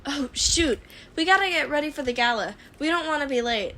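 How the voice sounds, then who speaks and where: A woman's voice: high-pitched, expressive, brisk, fairly bright tone, a little hiss or hum, pitched above the performer's own voice. A woman voicing an enthusiastic, friendly young inventor girl who explains eagerly, in a fan fiction audiobook. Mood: upbeat, hurried.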